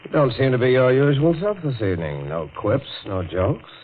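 An actor playing a sly, smooth blackmailer with an insinuating, mock-polite manner in a 1940s radio thriller. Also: male voice, medium pitch, big pitch swings, normal speed, narrow, tinny audio, close to an everyday voice. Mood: sly, needling. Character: sly, smooth male blackmailer toying with his victim. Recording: thin, hissy old radio recording.

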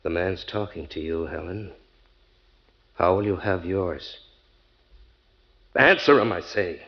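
An actor playing a husband who shifts between amusement and menace in an old-time radio drama, speaking in clipped, insistent phrases. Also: male voice, lowish voice, big pitch swings, moderate pace. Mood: quiet menace. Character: Ernie, husband, by turns amused and quietly menacing. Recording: dull old radio recording.

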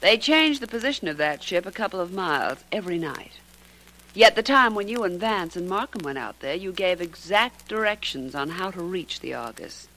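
An actress playing a bold, shrewd secretary in a 1940s radio detective drama. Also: female voice, lowish voice, animated, moderate pace, sharp tone, rough recording. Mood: cool, taunting. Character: bold, shrewd female secretary posing as blackmailer. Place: radio studio.

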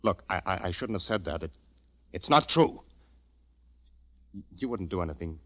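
An actor playing a lovesick, anxious young man who pleads haltingly in the emotional style of 1940s radio drama. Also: deep voice, lively intonation, fairly bright tone, no echo. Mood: nervous. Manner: halting, emotional, repeats himself when agitated. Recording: old radio recording, faint music.

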